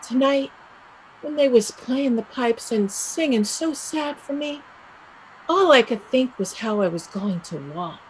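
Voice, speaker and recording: A woman's voice: fairly high pitch, expressive, measured pace, slight background noise, low-quality recording. A woman acting a restless, dreamy and bitter young Irish emigrant in a play reading, with an emphatic, lyrical delivery. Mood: wistful, bitter.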